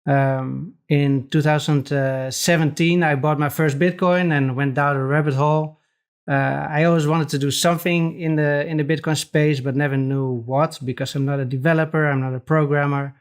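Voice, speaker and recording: A man from the Netherlands with a Dutch accent, a podcast guest talking casually with frequent hesitant pauses. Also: fairly high pitch, steady tone. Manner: hesitant, many pauses and restarts. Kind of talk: casual interview chat.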